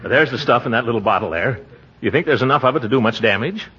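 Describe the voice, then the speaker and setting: An actor playing a pompous, flustered small-town uncle and official in a 1940s radio comedy, blustering and exclaiming with theatrical hems. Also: male voice, mid-range voice, lively intonation, quick pace, close to an everyday voice. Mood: worried, sheepish. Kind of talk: 1940s radio sitcom acting. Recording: muffled, noisy 1940s radio recording.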